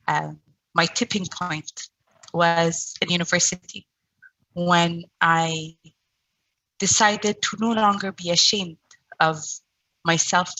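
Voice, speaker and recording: A middle-aged woman who stutters, giving an online presentation as founder of a stuttering support group, with pauses and repeated sounds. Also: fairly low pitch, bright, forceful tone, dry recording, low-quality recording.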